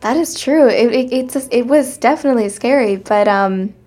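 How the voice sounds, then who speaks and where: A woman with a fairly high voice shares anecdotes as an interview guest. She talks casually and emphatically, in an animated storytelling way. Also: normal speed. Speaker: interview guest, stage actress.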